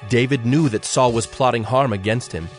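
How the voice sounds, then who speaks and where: A male narrator with a middle-pitched voice reads scripture as a formal story. His delivery is measured, with music softly underneath.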